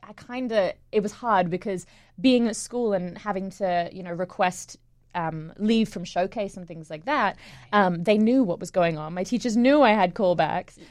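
A young actress with an English-Australian accent talks easily as an interview guest, filling her answers with ums and little laughs. Her voice sits at a middle pitch. The room sounds dry and close. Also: normal speed, darkish tone, no room sound. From England, raised in Australia. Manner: chatty, laughs often, many ums. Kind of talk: casual interview.

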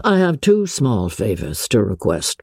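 A reader voicing a calm, teasing middle-aged gentleman father in a deadpan, measured way for a storybook. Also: mid-range voice, slightly rough, dry recording, studio quality, voice pushed down, full character voice. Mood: dry, wry.

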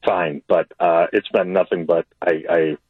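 Over a narrow, tinny phone line, an American man speaks as a radio caller. His voice is deep and husky. He chats casually, hesitating and repeating himself as he goes. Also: steady tone, a little slow, dry recording. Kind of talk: casual chat. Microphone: telephone.

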